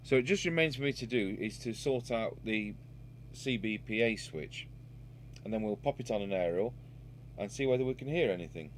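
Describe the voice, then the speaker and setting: Low-voiced Englishman over a noisy hum. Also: animated, moderate pace. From United Kingdom. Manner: matter-of-fact, thinking aloud as he works. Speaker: radio repair technician and presenter. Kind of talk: unscripted hands-on workshop demonstration. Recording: noisy workshop recording with electrical hum.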